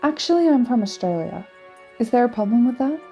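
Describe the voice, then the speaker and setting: An actress playing a plucky, sceptical young adventurer's companion in an audio drama, with a dry, frank, teasing manner. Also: female voice, fairly high pitch, lively intonation, a little fast, dark tone, slightly rough, faint music behind. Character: plucky, sceptical Australian woman, the time traveller's companion.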